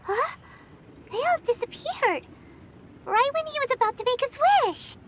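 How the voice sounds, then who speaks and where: A very high, lively voice a tiny excitable companion. She snaps back bluntly, her pitch leaping around. A layer of noise sits under the game audio. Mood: surprised. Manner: blunt, quick to retort.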